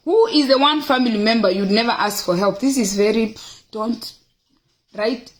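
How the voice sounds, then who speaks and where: A woman's voice: on the high side, moderate pace. A middle-aged woman with a Kenyan accent, talking casually and emphatically to her viewers, repeating phrases for stress. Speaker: host of a personal livestream. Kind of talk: casual, opinionated talk to viewers, reading out questions.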